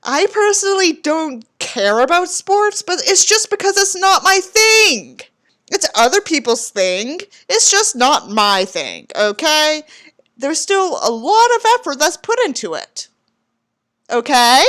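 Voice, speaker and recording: A woman's voice: sits high, sing-song, measured pace, a bit bright. A woman giving sarcastic, incredulous commentary as she reads a book aloud for an online audience. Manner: incredulous, sardonic. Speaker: YouTube reader and commentator.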